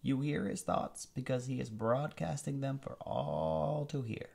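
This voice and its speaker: A noble alien warrior prince, grave and measured, as a man voices him in a read-aloud story. He talks slowly and formally, a little higher than the reader's own voice, with a gravelly, husky edge. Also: fairly even intonation, darkish tone, exaggerated voice. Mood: calm.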